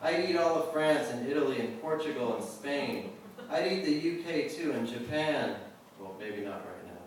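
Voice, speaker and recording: A man reading his own poetry at a live event, measured and deliberate in the poems and offhand in between. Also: mid-range voice, animated. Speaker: poet reading own work. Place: live reading venue, indoors. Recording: roomy echo, distant mic, faint noise.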